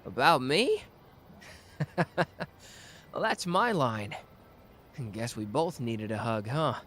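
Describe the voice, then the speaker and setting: A man playing a warm, protective young man greeting his partner in an ASMR roleplay. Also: mid-range voice, slow, slight background noise. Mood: warm relieved. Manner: warm, lively, laughs easily.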